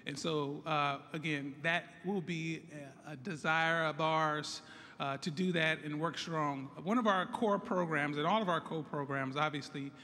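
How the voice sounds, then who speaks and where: A hoarse man from San Francisco, a site director, giving an earnest ceremonial speech that thanks and introduces guests. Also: on the high side, steady tone, measured pace, bright, forceful tone. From San Francisco, United States. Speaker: site director of a youth college program. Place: large hall at a groundbreaking event. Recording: echoey hall with crowd murmur.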